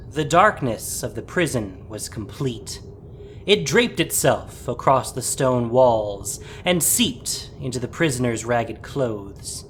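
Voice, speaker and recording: Animated male story narrator. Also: medium pitch, slight background noise. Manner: dramatic, storytelling.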